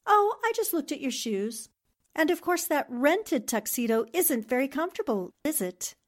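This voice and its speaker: Woman acting a poised, high-voiced lady sleuth. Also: very animated, moderate pace. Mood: coolly tactful. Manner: polished, arch, teasing endearments. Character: poised, shrewd, witty newspaperwoman amateur sleuth. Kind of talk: 1940s radio drama acting.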